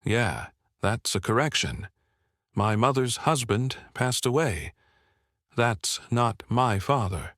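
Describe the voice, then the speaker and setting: A man's voice: medium pitch, expressive, takes it slowly, fairly bright tone, no room sound, studio quality. A man in his fifties from Vietnam, whose first language is French, giving an explanatory oral history interview peppered with "right?" check-ins. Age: 50s. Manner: explanatory, often checks with "right?" and "you know".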